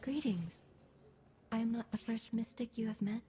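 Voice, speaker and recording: An actress playing a serene, austere alien mystic in a video game, speaking in formal, clipped, measured phrases. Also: female voice, fairly high pitch, faint music behind, lightly acted. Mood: solemn, serene. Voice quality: soft, breathy, husky. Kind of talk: scripted video game dialogue. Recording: long reverberant hall.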